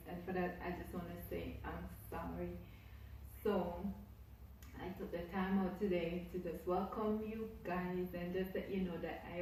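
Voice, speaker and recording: A woman's voice: fairly low pitch, warm tone, very echoey, distant mic, a little hiss or hum, poor audio. A Jamaican woman vlogging casually to her camera, effusive and repetitive as she piles up her thanks. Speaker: YouTuber. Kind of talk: casual vlog talk to camera.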